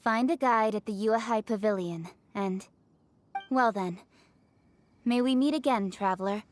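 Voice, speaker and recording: A woman's voice: medium pitch, a little slow, a little hiss or hum, natural voice. An actress playing a frank, self-assured young female official in a video game, composed and matter-of-fact. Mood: brisk, patient.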